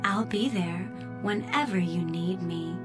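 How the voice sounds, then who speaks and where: Breathy female ship computer acted by a woman, very slow, coaxing, intimate, devoted, radio play over music. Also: lowish voice, lightly acted. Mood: seductive, soothing. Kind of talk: dramatic radio play acting.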